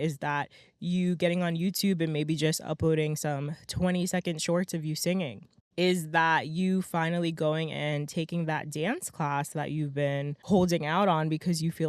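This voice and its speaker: A young adult woman hosting her own podcast in a casual, motivational monologue. Her voice is slightly hoarse and raspy, and she talks emphatically, often tagging lines with "right?" and "okay?". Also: low-pitched, a little mellow, no echo, clean studio sound. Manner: chatty, emphatic, peppers talk with "right?" and "okay?". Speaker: podcast host.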